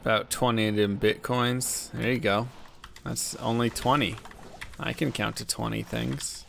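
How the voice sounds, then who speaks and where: Laid-back man streaming a game, deep gravelly voice, slow and rambling, faint keyboard clicks behind. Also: steady tone, a bit bright, slight background noise. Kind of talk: casual chat while gaming.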